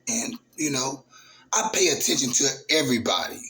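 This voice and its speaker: A man, hosting his own channel in a casual, halting monologue full of fillers and restarts. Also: medium pitch, animated, sharp tone, poor audio. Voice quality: raspy voice. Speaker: YouTube channel host.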